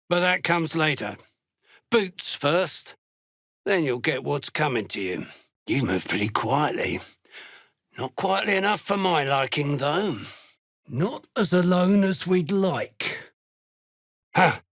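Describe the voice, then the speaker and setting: Gravelly, menacing fantasy dwarf slave hunter. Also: male voice, high voice, lively intonation, slow, bright, forceful tone, no echo, clean studio sound, full character voice. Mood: angry, threatening. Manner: blunt, threatening, scornful. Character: gruff, menacing duergar slave hunter, callous fantasy soldier. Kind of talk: video game character voice lines.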